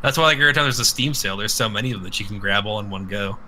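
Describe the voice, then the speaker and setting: A man's voice: lowish voice, rapid-fire, sharp tone. A man co-hosting a podcast in casual, crude banter, rambling and irreverent, swearing freely. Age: adult with children. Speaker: podcast co-host.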